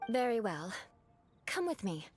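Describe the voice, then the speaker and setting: A woman's voice: mid-range voice, big pitch swings, measured pace, faint music behind. A woman playing a proud, formal young noblewoman in a video game, speaking in a haughty, precise and earnest way. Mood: lecturing, haughty.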